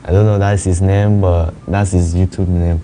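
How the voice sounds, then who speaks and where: A young man, a native Chinese speaker, answering interview questions hesitantly and softly. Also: deep voice, flat delivery, normal speed, slight background noise. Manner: hesitant, soft-spoken, laughs shyly. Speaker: esports player guest.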